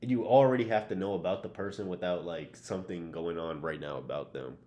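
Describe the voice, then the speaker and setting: A man's voice: sits low, brisk, dark tone. A young American man co-hosting a podcast, talking casually with slangy, opinionated delivery. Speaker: podcast co-host.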